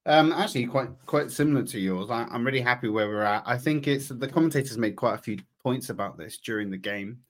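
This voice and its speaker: A man's voice: medium pitch, fairly quick, few pauses. A man hosting a football podcast while sounding bunged up, upbeat and jokey. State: stuffy, bunged up. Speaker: podcast host. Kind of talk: casual football chat.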